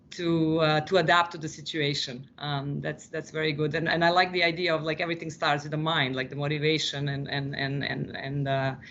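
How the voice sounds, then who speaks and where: Thoughtful moderator on a muffled line. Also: male voice, fairly high pitch, a bit bright. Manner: thoughtful, repeats words while searching. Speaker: panel moderator. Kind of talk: webinar moderation.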